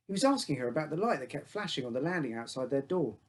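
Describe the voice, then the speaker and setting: A man's voice: mid-range voice, big pitch swings, fast talker. A man reading his own short story aloud as a first-person narrator, in simple childlike phrasing.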